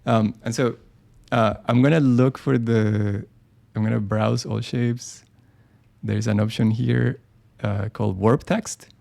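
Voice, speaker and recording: A man's voice: mid-range voice, steady tone, slow, creaky, darkish tone. A man walking through a design tutorial live on screen, talking casually and thinking aloud, with frequent ums and restarts. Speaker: design software presenter.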